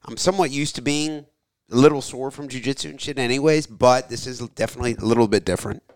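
A man hosting a casual podcast chat. His voice is a little hoarse, and he talks in a loose, rambling way with casual swearing. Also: medium pitch, fast, no echo. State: slightly hoarse, lost some voice. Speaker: podcast host.

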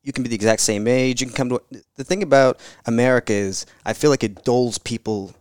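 A man's voice: medium pitch, brisk, darkish tone, clear, resonant tone, dry recording, studio quality. Easygoing young man from Morocco. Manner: easygoing, agreeable, lots of yeahs. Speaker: podcast guest. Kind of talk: casual interview chat.

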